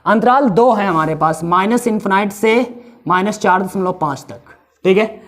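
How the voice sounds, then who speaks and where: A man teaching a math lesson with a hoarse, sore throat. He works through each step, repeats values, and puts questions to his students. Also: sits high, moderate pace, fairly bright tone, faint music behind.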